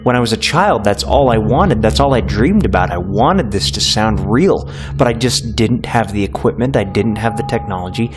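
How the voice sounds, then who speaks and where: A man in his late twenties with a low voice talks over clear guitar music. He is reflective and earnest, reminiscing in a documentary interview style. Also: expressive, moderate pace, few pauses. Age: late 20s. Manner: nostalgic, earnest. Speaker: audio drama creator. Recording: music playing behind.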